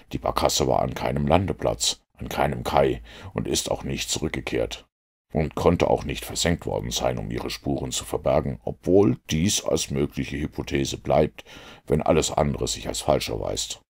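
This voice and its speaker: Very deep, assured detective, read by a man. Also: gravelly, a little mellow, slightly rough, full character voice. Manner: assured, precise, expository. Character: brisk, self-assured male detective, commanding and analytical.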